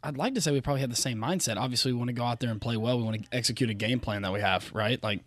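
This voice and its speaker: A man's voice: mid-range voice, rapid-fire, no room sound, clean studio sound. A young man, a professional golfer guest, giving easygoing answers in a casual interview.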